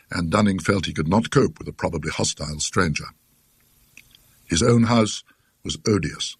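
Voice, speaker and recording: British guy reading an old ghost story, husky, really swoops about, dry scholarly wit. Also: deep voice, no echo. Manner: scholarly, dryly witty, deliberate. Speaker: narrator. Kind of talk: scripted narration of a classic gothic ghost story.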